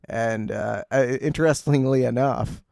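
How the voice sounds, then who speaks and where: A man's voice: mid-range voice, unhurried, creaky, darkish tone, no echo. A man co-hosting a radio show in casual banter, with a dry, wry way of talking. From Canada. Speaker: co-host of a local music and chat radio show.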